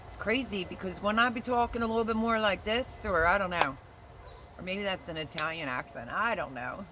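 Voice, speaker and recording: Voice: mid-range voice, brisk, background noise. A gardening livestream host chatting casually and teasing viewers while reading their comments aloud.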